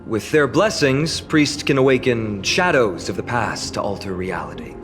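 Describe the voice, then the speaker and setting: A man plays an earnest young warrior guide in a fantasy video game. He speaks in a courteous, measured, formal manner at a middle pitch, over loud orchestral music. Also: animated, lightly acted. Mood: solemn, courteous. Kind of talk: scripted video game dialogue.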